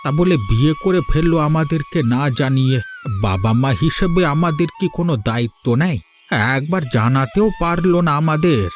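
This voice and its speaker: A man's voice: a little high, expressive, moderate pace, natural voice. A man voicing a bitter, sarcastic, aggrieved old village father in a Bengali cartoon. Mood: incredulous, hurt. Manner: grumbling, sarcastic. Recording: music behind the voice.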